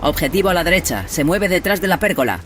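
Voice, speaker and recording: Una mujer que interpreta en un audiodrama a una hermana de batalla curtida que informa con urgencia en pleno combate. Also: female voice, fairly low pitch, lively intonation, quick pace, faint music behind, lightly acted. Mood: urgent report. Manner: urgent, soldierly. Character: Lece, hardened female battle sister reporting under fire. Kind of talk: audio drama acting.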